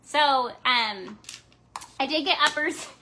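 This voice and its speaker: Bright, high-voiced woman crafting and chatting. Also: expressive, takes it slowly, rough recording. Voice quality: slight lisp. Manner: chatty, talks while working, trails off. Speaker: craft vlogger. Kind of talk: casual live craft chat.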